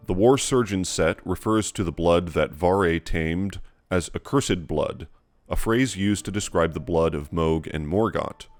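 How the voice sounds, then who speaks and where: Deep-voiced man narrating a scripted explainer. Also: dry recording. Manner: measured, explanatory, deliberate. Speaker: lore video narrator.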